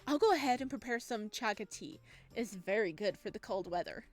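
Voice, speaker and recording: A woman voicing a friendly, courteous man in a visual novel, an eager host with a gently teasing way. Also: medium pitch, expressive, brisk, soft background music, close to an everyday voice. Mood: eager, welcoming. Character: friendly, teasing man hosting a guest in his cabin.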